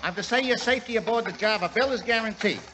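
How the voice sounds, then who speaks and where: An actor playing a chatty, muddled Cockney cab driver in a 1940s radio drama. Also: male voice, sits high, natural voice. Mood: cheeky, muddled. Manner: chatty, halting recitation of a message. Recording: old radio recording with faint hiss.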